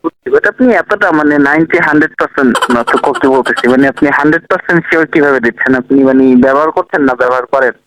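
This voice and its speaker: A man's voice: a little high, rapid-fire, hardly any pauses, fairly bright tone, clean tone, no room sound. A young Bangladeshi man making a prank phone call, asking casual, hesitant, repetitive questions. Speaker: prank caller.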